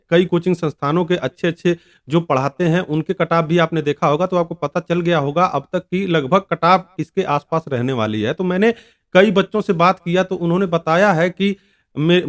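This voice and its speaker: A man's voice: high-pitched, normal speed. A man hosting an online video, explaining and advising viewers in an informal way, often repeating and rephrasing his points. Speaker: YouTube exam-advice host.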